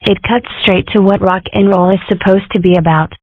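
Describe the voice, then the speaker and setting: A woman's voice: medium pitch, steady tone, fairly quick, no echo, close to an everyday voice. A woman voicing a mischievous young fox girl in a fan comic dub, speaking in a sarcastic way. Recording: dry, phone-like lo-fi sound.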